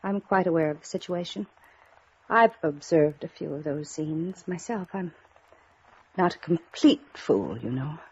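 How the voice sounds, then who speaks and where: A woman's voice: low-pitched, expressive, a little slow, darkish tone, breathy edge, full character voice. A woman playing a proud, jealous small-town society lady in a 1950s radio drama, haughty, proper and guarded. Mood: strained. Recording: muffled old radio recording.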